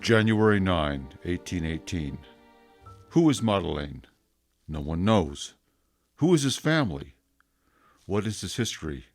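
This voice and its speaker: An actor playing a stern, suspicious police inspector reading his journal entries in a radio drama, with measured, clipped delivery. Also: low-pitched, very animated, faint music behind, exaggerated voice. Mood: suspicious, brooding.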